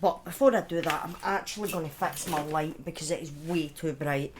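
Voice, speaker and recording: A woman's voice: low-pitched, a little fast, background noise. A woman chatting informally to viewers as the host of a livestream, chatty and self-deprecating, with the odd swear word. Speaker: live streamer and storytelling host. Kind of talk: casual livestream chat to viewers.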